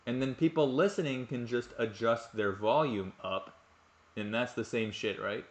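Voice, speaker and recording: A man's voice: medium pitch, normal speed, echoey room. Rambling young man streaming a game. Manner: rambling, self-mocking, swears freely. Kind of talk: casual live-stream commentary. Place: home room at night.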